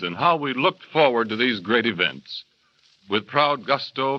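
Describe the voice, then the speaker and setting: A man reading a scripted radio commercial with a nostalgic, persuasive delivery. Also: lowish voice. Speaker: commercial announcer. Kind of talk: scripted 1930s radio advertisement. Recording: dull, hissy 1930s radio broadcast.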